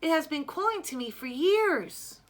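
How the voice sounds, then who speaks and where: A woman's voice: high-pitched, animated, moderate pace, voice pushed up. A woman voicing an earnest twelve-year-old girl in a storybook, eager and pleading as her words spill out.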